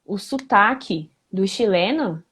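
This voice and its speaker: A woman guest, a former exchange student, telling lively, fast anecdotes in a casual interview. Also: medium pitch, husky.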